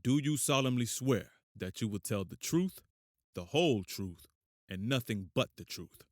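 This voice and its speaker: A man's voice: medium pitch, expressive, normal speed, dry recording, clean studio sound, close to an everyday voice. A man playing a court bailiff in an audio drama, reciting formally and solemnly. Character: formal male court bailiff administering the oath.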